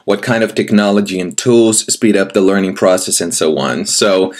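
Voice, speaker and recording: A man in his thirties from Brazil, a native Portuguese speaker, presenting himself as a language teacher and giving a sample lesson to the viewer. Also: low voice. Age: 30s. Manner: friendly, addresses the viewer directly, pauses with "um".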